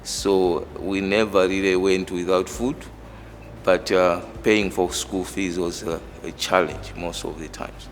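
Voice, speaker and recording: A middle-aged man with a Malawian accent, a professor explaining work and background in a measured, halting way. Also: low-pitched, fairly even intonation. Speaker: engineering professor. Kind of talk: interview monologue explaining own work and background. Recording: reverberant hall, music underneath. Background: background music.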